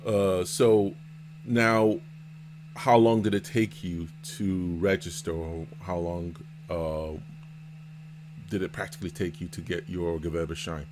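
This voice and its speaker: A man hosting a casual vlog interview as a seller and mentor, explaining things in a halting, repetitive way. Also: low voice, steady tone. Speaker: host, Amazon seller and mentor. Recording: noticeable background noise.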